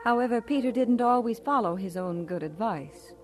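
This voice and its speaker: Actress as gentle, composed pastor's wife. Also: female voice, on the high side, lively intonation, moderate pace, background noise, close to an everyday voice. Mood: reflective. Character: gentle, devoted wife of a pastor, telling his story. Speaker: actress and narrator in a radio drama. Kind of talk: old-time radio drama acting.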